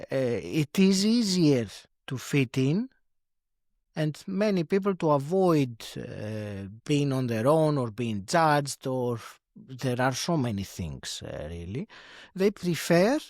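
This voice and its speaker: A man talks through his ideas as a podcast guest, pausing often and restarting his sentences. His voice sits in a middle register, and the recording is dry, with no room sound. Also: mid-range voice, darkish tone, no echo. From Greece. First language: Greek. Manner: hesitant, many fillers and restarts, laughs often. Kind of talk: reflective conversational interview.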